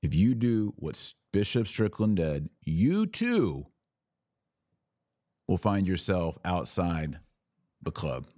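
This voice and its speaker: An American man with a very low voice hosts a live commentary show. He is blunt and opinionated, often repeating a phrase to drive it home. The sound is dry, with hardly any room on it. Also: big pitch swings, slow pace, pauses often, mellow, no echo. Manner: emphatic, repeats phrases for stress. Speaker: podcast host answering live audience comments.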